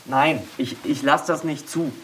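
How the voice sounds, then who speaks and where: An actor playing an uneasy, reluctant male aid worker in a naturalistic radio play, halting and repeating himself. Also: on the high side, a bit bright, a little hiss or hum, poor audio. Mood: reluctant, upset. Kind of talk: naturalistic German radio drama dialogue.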